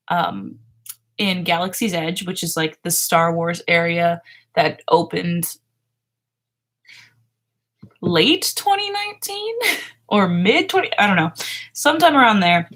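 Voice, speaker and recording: A woman's voice: lowish voice, fairly bright tone. Chatty young woman streaming from bedroom. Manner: chatty, laughs often, trails off with fillers. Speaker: livestream host. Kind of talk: casual chat with chat readers. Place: small home bedroom, indoors. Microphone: webcam framing at desk.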